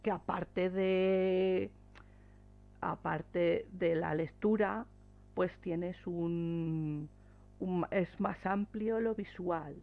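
Casting: woman, modest hesitant co-host in casual chat, creaky voice, narrow telephone-like sound. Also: on the low side. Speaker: podcast co-host. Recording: thin phone-like audio.

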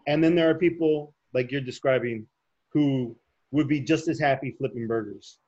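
A man's voice: medium pitch, moderate pace, darkish tone, lo-fi sound. A man from Chicago's southwest side chatting as a podcast guest, agreeable and conversational with hesitant restarts. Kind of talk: casual podcast chat.

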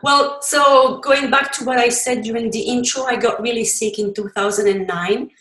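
A woman's voice: a little high, fairly even intonation, moderate pace, a bit bright. French-accented Belgian woman in an echoey room. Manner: explanatory, frequent fillers and restarts. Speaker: podcast guest, cookbook author. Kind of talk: conversational interview.

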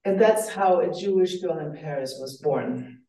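Soft-voiced German author, roomy sound. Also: female voice, low voice, expressive, measured pace, far from the mic. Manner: earnest, reflective, restarts phrases. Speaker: author guest. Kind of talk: radio interview.

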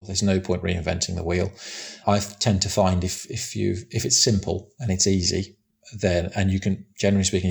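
A man's voice: low voice, vocal fry. A man who manages a construction site, talking casually and plainly in a big voice, often restarting and repeating words. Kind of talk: casual interview answers.

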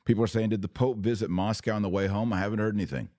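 A man with a slightly raspy voice, worn from hours of talking, hosting a casual religious commentary podcast in short, emphatic phrases. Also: lowish voice, steady tone, very fast, dark tone, no echo, studio quality. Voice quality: a little raspy. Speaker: podcast host.

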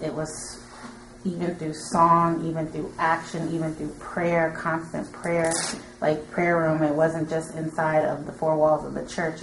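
Creaky, low woman in an echoey room. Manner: informal, filler-heavy with frequent "like". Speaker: audience member. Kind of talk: casual, earnest comment to a teacher. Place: indoor room with an audience. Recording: roomy echo with clear background noise.

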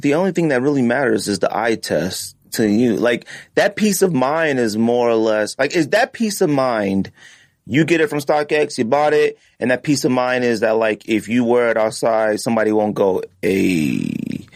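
A man hosts a podcast in a loose, rambling way full of filler phrases. His mid-pitched voice moves around with lively intonation. It sounds close and dry, as on a studio microphone. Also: moderate pace, no echo. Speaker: podcast host. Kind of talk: casual podcast chat.

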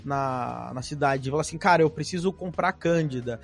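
A Brazilian man hosting an interview, chatting in an animated way with lots of fillers. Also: medium pitch, a little fast, creaky, soft background music. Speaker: podcast host. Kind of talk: casual interview chat.

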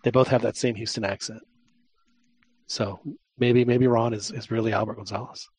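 A man with a husky, slightly breathy voice hosts a casual sports chat. He repeats words and trails off as he jokes. He is close on a dry mic. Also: fairly low pitch, fairly even intonation, darkish tone, no room sound. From Texas. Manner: halting, repeats words, joking. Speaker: podcast host.

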